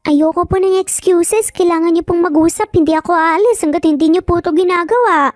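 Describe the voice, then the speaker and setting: Storybook little boy, acted by a woman: tiny high voice, bossy, scolding yet polite; dry recording, light music bed. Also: a little fast, darkish tone, no echo. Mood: stubborn, insistent. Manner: insistent, scolding, with polite childlike phrasing. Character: bossy, determined little boy, stubborn and cute. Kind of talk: dramatized Tagalog storytelling.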